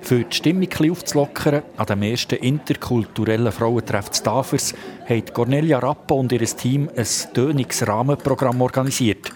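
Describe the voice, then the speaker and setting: A man's voice: mid-range voice, normal speed, darkish tone. A man with a Swiss German accent narrating a scripted radio report as a reporter.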